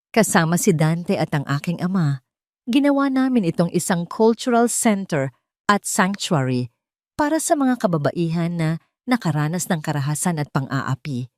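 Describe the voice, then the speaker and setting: A woman's voice: lowish voice, a little mellow, dry recording, studio quality. A woman with a Filipino accent reading a scripted first-person dramatic story as its narrator.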